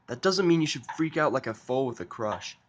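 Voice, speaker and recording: A man's voice: mid-range voice, lively intonation, fairly quick, slight background noise, pitched above the performer's own voice, lightly acted. A man playing an irritable, sarcastic, foul-mouthed human in a fan fiction audio drama, blunt and impatient. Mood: impatient, irritated.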